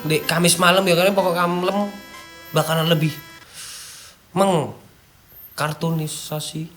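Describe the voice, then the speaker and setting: A man with a fairly high voice and an East Javanese accent chats casually as a video host. He mixes read-out passages with slangy asides and interjections. Music plays behind him. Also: flat delivery, slow, a bit bright. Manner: slangy, peppering talk with interjections. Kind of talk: casual chatty commentary, partly reading out an article. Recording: background music under the talk.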